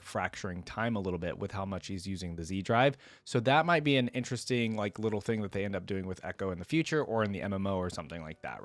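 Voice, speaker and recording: A man's voice: on the low side, no echo. Casual man chatting to viewers, dry close sound. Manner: informal, rambling, enthusiastic about the game. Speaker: reaction video creator.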